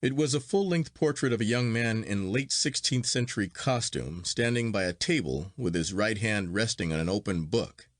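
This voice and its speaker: A man reading a literary story as an audiobook narrator, in a measured and expressive manner. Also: lowish voice, no echo, clean studio sound.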